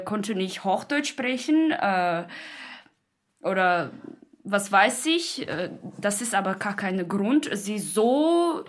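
A woman who is not a native speaker, giving her personal opinion in an outspoken, halting way. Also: medium pitch. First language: not German.

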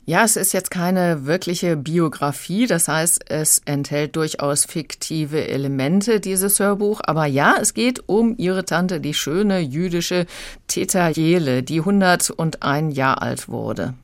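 A woman with a low voice speaks as a reviewer in a radio conversation. She explains in a conversational way, in a very dry acoustic. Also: slow pace, no room sound. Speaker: audiobook reviewer.

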